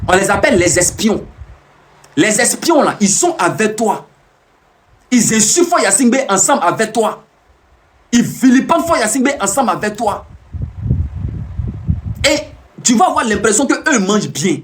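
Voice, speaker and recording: A man's voice: sits high, sing-song, moderate pace, pauses often, slight background noise. A man giving an emphatic, repetitive, preaching monologue on a live stream, answering viewers' comments as he talks. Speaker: self-proclaimed peace ambassador streaming live.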